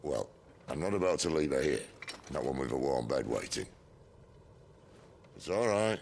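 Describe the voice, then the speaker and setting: Very deep, gravelly British rogue. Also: male voice, big pitch swings, darkish tone, a little hiss or hum, heavily acted. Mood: teasing, assured. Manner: wry, unhurried teasing. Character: roguish middle-aged male outlaw leader, wry and self-assured. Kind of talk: video game cutscene acting.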